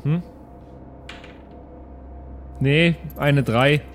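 A man's voice: fairly high pitch, very slow, faint music behind. A man playing in an improvised tabletop role-playing audio play, bantering casually in and out of character.

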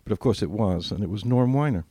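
A man's voice: lowish voice, lively intonation, quick pace, warm tone, breathy edge, no room sound, clean studio sound. An older man telling anecdotes casually as a podcast co-host, acting out bits of dialogue as he reminisces.

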